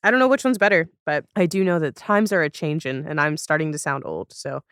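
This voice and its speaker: A woman's voice: low voice, a little fast, a bit bright, clear, resonant tone, no room sound, studio quality. A woman hosting a podcast in a casual, conversational way, chatty and thinking aloud as she goes. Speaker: podcast host.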